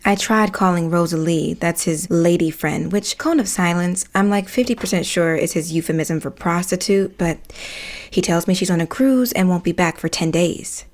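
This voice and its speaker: A woman acting a frank, wry neighbour in an audio comedy. Her voice sits a little low, and she narrates casually with deadpan asides. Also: normal speed, lightly acted. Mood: wry, concerned. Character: candid, wry working woman, a concerned neighbour. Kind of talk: comic audio drama narration.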